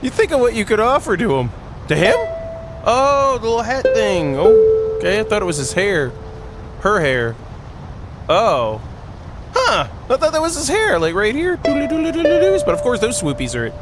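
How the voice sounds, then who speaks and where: A man's voice: sits high, normal speed, loud music behind the voice. A man hosting a gaming video, reading aloud and breaking off for playful, jokey commentary. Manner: self-interrupting, jokey, animated.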